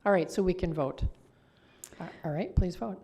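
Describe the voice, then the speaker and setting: A woman's voice: lowish voice, moderate pace, a little mellow, husky. A woman speaking as a mayor chairing a council meeting, courteous and procedural as she moves through formal business.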